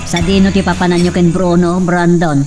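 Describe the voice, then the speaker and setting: A woman's voice: on the low side, level tone, normal speed, recorded from a distance, music in the background, close to an everyday voice. A woman playing an earnest mother who gives her son instructions in a radio drama. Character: grave mother of the young master.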